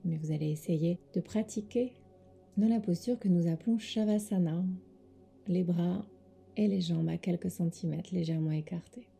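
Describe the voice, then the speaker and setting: Female meditation guide: soft, lowish, husky-breathy and creaky, very dark tone, soothing delivery with pauses over faint music. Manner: soothing, gentle instructions with pauses. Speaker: yoga nidra teacher.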